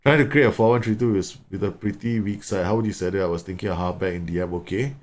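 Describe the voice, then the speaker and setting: A man's voice: deep voice, fast talker. Chatty man streaming. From Singapore. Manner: chatty, repeats words, explains tactics at length. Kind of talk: live gameplay commentary and chat.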